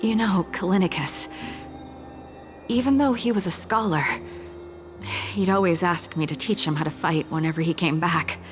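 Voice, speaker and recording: An actress playing a stoic, dignified warrior widow in a video game, calm and restrained with deliberate pauses. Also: female voice, fairly low pitch, over music, natural voice. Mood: quiet grief, resolve.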